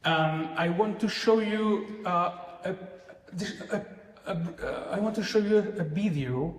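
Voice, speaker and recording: A man's voice: high-pitched, takes it slowly. A man from Chile with a mixed Chilean and Scottish accent, giving a lecture with frequent restarts and self-deprecating remarks. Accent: Chilean Spanish with Scottish traces. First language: Spanish. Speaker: guest lecturer. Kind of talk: academic talk. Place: lecture hall. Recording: echoey lecture hall.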